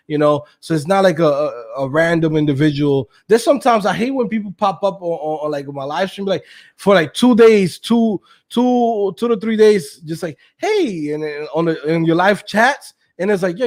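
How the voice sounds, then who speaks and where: A man's voice: high-pitched, a little mellow. A man talking as a guest on a casual video chat with another creator, hyped and slangy, laughing often. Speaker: guest, coding course creator.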